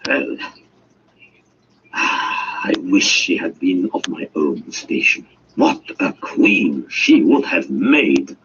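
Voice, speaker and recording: A man's voice: sits low, very animated, very slow, fairly bright tone, voice pushed down, full character voice. A man voicing a grand, impatient, lovelorn king in a ponderous, dramatic storybook reading. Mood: imperious, wistful. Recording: dull audio with faint hiss.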